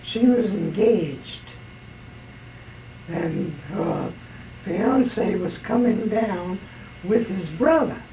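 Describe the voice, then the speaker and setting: A man in his sixties with a raspy voice left by throat surgery, slowly recounting memories in a home oral history interview. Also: high voice, animated, mellow, a little hiss or hum, low-quality recording. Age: 60s. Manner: slow, unhurried storytelling with pauses.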